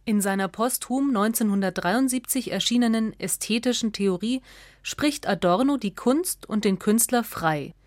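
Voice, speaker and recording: A woman reads a scripted radio essay as narrator, in a measured, articulate way. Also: a little high, dry recording, clean studio sound.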